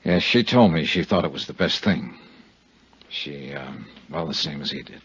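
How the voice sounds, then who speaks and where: An actor with an American accent playing a cool, courteous insurance investigator and narrating in a wry, clipped 1950s radio detective style. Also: male voice, extremely low pitch, animated, moderate pace, fairly bright tone, slightly rough, heavily acted. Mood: gentle, measured. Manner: wry, clipped first-person narrating and questioning. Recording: muffled vintage radio recording, music behind.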